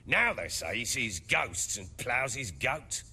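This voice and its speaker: An actor playing a burly, middle-aged, hard-drinking baron in a fantasy video game, with a rough voice and a blustering, defensive manner. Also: male voice, medium pitch, very animated, slow, sharp tone, exaggerated voice. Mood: gruff, wary. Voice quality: rough, husky.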